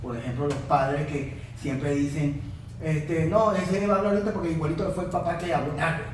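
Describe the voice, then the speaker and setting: A male psychologist in a casual panel talk, halting and repeating words as he searches for them. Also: a little high, steady tone, slight background noise. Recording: echoey room, far from mic.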